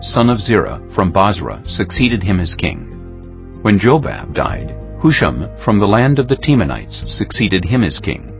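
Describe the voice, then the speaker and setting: A man narrating a dramatized scripture audiobook in a solemn, measured storytelling manner. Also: low voice. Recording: dull lo-fi, music bed.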